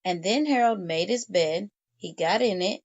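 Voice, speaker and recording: A slightly dull, lo-fi recording of a woman reading a children's picture book aloud. Her voice sits at a medium pitch for a woman. She narrates expressively, with deliberate phrasing and stretched emphasis meant for young listeners. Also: a little mellow. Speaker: children's story reader.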